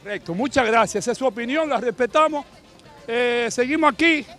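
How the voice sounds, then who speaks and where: A middle-aged man with a Dominican accent, a street reporter with a handheld mic who briskly stops passersby on a busy sidewalk to ask their opinion. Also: extremely high pitch, sharp tone. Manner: brisk, friendly, calls out to passersby. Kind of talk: street vox pop interviews. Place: busy city sidewalk outdoors.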